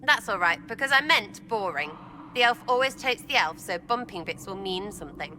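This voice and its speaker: A woman's voice: mid-range voice, animated, moderate pace, noisy background, lightly acted. A woman playing a brash, mocking young elf rogue in a video game, cheeky, blunt and slangy. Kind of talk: dramatic video game acting.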